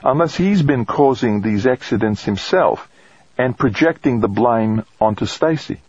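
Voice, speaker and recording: A man's voice: medium pitch, expressive, unhurried, husky, no echo, dull audio, pitched above the performer's own voice. A man reading an audiobook, voicing a friendly, thoughtful woman colleague with a breezy, colloquial manner. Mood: pensive. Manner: breezy and colloquial, calling people mate. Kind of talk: audiobook character dialogue.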